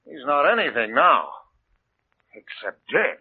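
An actor playing a brash, loud male airport worker, in 1940s radio drama style. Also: fairly high pitch, sing-song, measured pace. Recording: tinny, noisy old radio broadcast.

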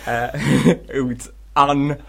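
A man's voice: mid-range voice, flat delivery, drawn-out words, vocal fry, a bit bright. An English man co-hosting a radio show with casual, comedic, grumbling banter in a studio. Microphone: studio microphone.

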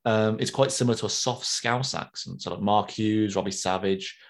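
Male co-host, lower register, laid-back and chatty. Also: moderate pace. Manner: relaxed, chatty, often laughing. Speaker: podcast co-host. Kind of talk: casual chat, reading listener mail.

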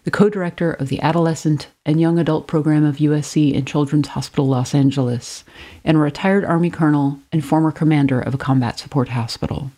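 A woman co-hosting a podcast, reading out the guest's credentials in a clear, measured way. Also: low-pitched, steady tone, dark tone. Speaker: podcast co-host.

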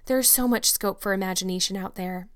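A woman's voice: mid-range voice, quick pace, lightly acted. A woman voicing an imaginative, talkative young orphan girl in a storybook reading, effusive and earnest. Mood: hopeful.

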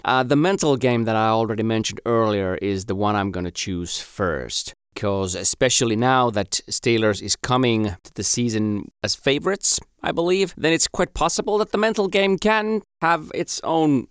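A man's voice: medium pitch, lively intonation, moderate pace, hardly any pauses, clear, resonant tone, no room sound, studio quality. A man who speaks Finnish as his first language, eagerly giving his views as a podcast guest. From Finland. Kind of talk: podcast commentary.

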